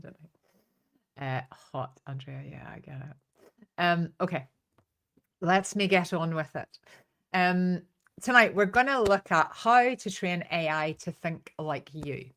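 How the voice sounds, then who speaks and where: Northern irish lady doing a live training, bit low pitched, chatty storyteller type. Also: a bit bright. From Northern Ireland. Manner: chatty, rambling storyteller with frequent asides. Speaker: online trainer and host. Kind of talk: informal live training talk.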